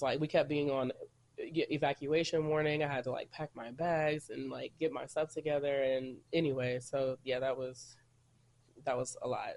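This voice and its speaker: A man's voice: on the high side, darkish tone. A congested man recovering from a cold, hosting a casual solo podcast and rambling in a chatty way. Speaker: podcast host.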